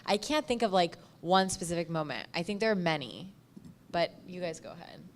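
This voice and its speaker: A woman with a fairly low voice talks casually, as a podcast host on a panel would. She tells stories in loose, conversational phrases, often dropping in "like" as she goes. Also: lively intonation, a little fast, slight background noise. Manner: informal, frequent "like" as filler.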